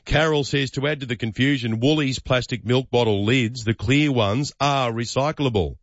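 An Australian man hosting radio, reading listener messages and bantering with callers in a brisk, joking way. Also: medium pitch, no room sound, highs cut off. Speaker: radio host. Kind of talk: breakfast radio chat.

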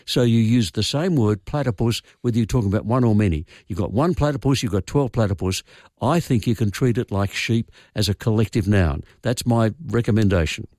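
Low, opinionated older Australian man. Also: a little fast, darkish tone, no room sound. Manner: explanatory, opinionated, wry. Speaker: language expert guest. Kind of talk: radio phone-in explanation. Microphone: studio microphone. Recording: dry studio sound.

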